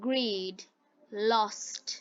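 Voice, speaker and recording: A woman narrating slowly as an English teacher, reading scripted material for learners in a dull, lo-fi recording. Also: mid-range voice, clean tone, no room sound.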